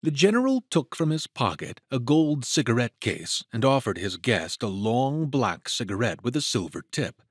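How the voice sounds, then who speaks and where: A man with a husky voice narrating a scripted dramatic story. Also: medium pitch, sing-song, moderate pace, hardly any pauses, no room sound, clean studio sound.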